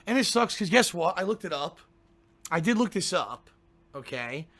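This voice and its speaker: A man with a cold, hoarse and congested, chatting casually to his viewers before a game stream, grumbling and ranting and clearing his throat often. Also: high voice, very animated, moderate pace, a bit bright.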